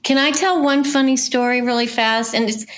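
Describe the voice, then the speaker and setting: A middle-aged or older woman telling industry stories in a casual, animated, chatty way as an interview guest. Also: high voice, moderate pace. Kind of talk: casual conversational storytelling.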